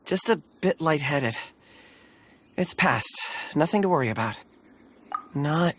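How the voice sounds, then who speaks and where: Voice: mid-range voice, animated, measured pace, a bit bright, slight background noise. An actor voicing an earnest, polite and hesitant young male traveler in an anime-style video game. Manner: thoughtful, hesitant.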